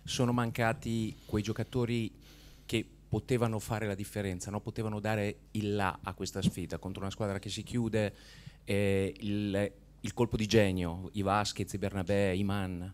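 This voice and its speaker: A man's voice: fairly low pitch, flat delivery, normal speed. A man, a sports journalist, putting questions to the coach at a press conference.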